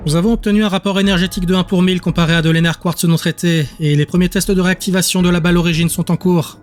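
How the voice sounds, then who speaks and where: A man's voice: high voice, steady tone, fast talker, soft background music, voice pushed up, lightly acted. A man voicing a formal, deferential female subordinate who reports to her master in an audio drama. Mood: businesslike.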